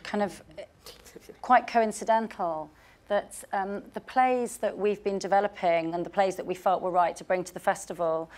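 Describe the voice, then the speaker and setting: A woman's voice: mid-range voice, fairly even intonation, moderate pace, darkish tone. A woman with a British accent moderating a panel, speaking in a friendly, loose way with fillers.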